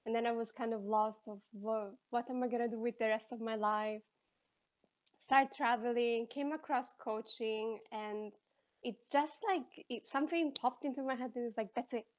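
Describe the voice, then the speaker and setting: An Italian-accented woman speaks as a podcast guest with a fairly high voice. She talks in a lively, expressive way, rushing in bursts and restarting sentences. Her first language is Italian. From Italy. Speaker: counselor and life coach. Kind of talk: casual interview.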